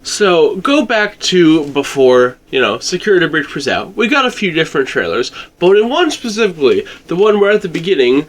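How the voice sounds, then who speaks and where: A man speaking as a guest in a casual discussion, explaining his point with excitable emphasis. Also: a little high, normal speed, hardly any pauses.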